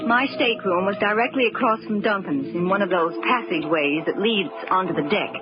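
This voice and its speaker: An actress playing an anxious, protective young stepsister in an old-time radio mystery, earnest and a little bossy, hesitating when worried. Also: female voice, mid-range voice, fairly quick, loud music behind the voice, natural voice. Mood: tense narration. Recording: muffled, noisy vintage radio recording.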